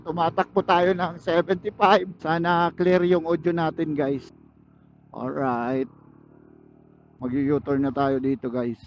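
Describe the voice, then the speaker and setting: A man with a Filipino accent chatting casually and jokily to viewers as he motovlogs, speaking into a helmet intercom microphone outdoors. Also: fairly high pitch. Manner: chatty, jokey. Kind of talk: casual vlog chat to viewers while riding. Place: outdoors on a moving motorcycle. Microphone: helmet intercom used as wireless microphone. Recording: dull helmet intercom mic, vehicle noise. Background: faint vehicle and road noise.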